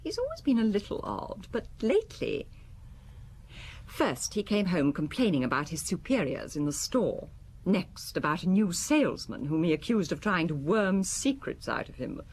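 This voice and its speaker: An actress playing a cold, elegant wife in an audio drama, speaking precisely with haughty contempt and pointed questions. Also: female voice, on the low side, wildly swooping pitch, moderate pace, a little mellow, a little hiss or hum. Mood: scornful.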